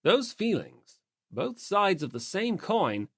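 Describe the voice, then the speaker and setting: A man reading a story aloud as an audiobook narrator, in expressive scripted narration. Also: high-pitched, slow, breathy edge, no echo, clean studio sound.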